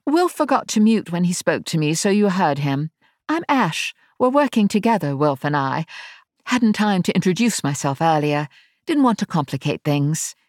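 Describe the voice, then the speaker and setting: A woman voicing a brisk, matter-of-fact tech specialist with an English accent, in an audiobook story. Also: fairly low pitch, very animated, a little mellow, dry recording, clean studio sound, pitched above the performer's own voice.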